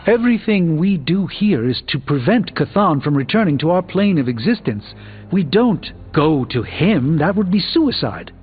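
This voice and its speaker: An actor playing a middle-aged, scholarly sorcerer superhero in a video game, speaking in an articulate, wry, formal manner. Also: male voice, fairly high pitch, very animated, normal speed, slightly rough. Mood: grave, urgent. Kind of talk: video game character dialogue. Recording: thin phone-like audio, music behind.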